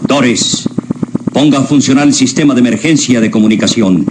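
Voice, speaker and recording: An actor playing a stern, stubborn general in a radio drama, commanding and insistent in clipped orders. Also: male voice, mid-range voice, brisk, heavy background noise, close to an everyday voice. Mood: firm, urgent.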